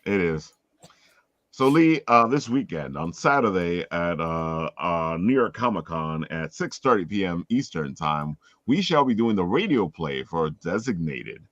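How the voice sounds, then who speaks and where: A man's voice: low-pitched, very animated, a little slow, fairly bright tone, no room sound, lo-fi sound. A man with a strong voice hosting a casual live chat over a video call. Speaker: podcast host.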